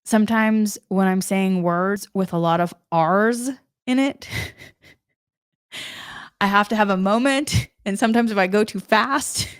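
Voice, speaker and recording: A woman's voice: mid-range voice, unhurried, clear, resonant tone, no echo. Woman w/ faint boston accent, earnest rambling spiritual talk, trips on words, dry close sound. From Massachusetts, New England. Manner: earnest, rambling with restarts and stumbles. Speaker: spiritual channel host. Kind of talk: energy forecast talk.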